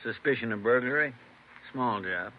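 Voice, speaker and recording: An actor playing a cooperative police officer in an old radio drama. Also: male voice, medium pitch, moderate pace, lightly acted. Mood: helpful. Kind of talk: 1940s-50s radio drama acting. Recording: tinny noisy vintage radio recording.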